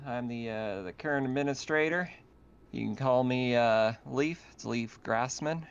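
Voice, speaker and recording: Man playing a folksy, weary colony administrator, smooth-voiced. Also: mid-range voice, unhurried, highs cut off, close to an everyday voice. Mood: folksy welcome.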